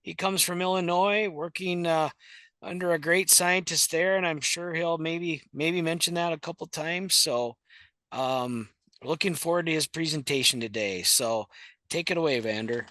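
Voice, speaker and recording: A man hosts an online webinar in a bright, fairly high voice, dry and close to the mic. He speaks haltingly, filling his pauses with many ums. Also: no room sound. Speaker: webinar host. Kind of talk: introducing a speaker.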